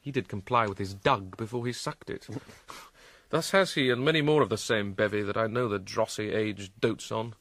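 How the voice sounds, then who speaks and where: An actor playing a troubled, witty and melancholy young prince in a classical Shakespearean radio drama, speaking theatrically with a quick mind. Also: male voice, lowish voice, a bit bright, no room sound. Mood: mocking, playful. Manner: theatrical, quick-witted, shifting between dry mockery and reflection.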